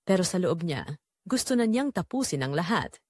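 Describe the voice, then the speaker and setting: Woman reading a dramatic first person story, really animated intonation, dry close recording. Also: on the low side, normal speed, breathy edge, dry recording. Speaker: narrator.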